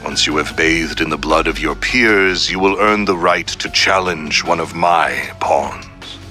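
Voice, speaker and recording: Deep, forceful, suave crime boss. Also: male voice, a little slow, soft background music, heavily acted. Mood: grand, sinister. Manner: formal, grandiose, calmly threatening. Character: suave, menacing male crime syndicate boss, coldly polite. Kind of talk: scripted villain monologue in a video game.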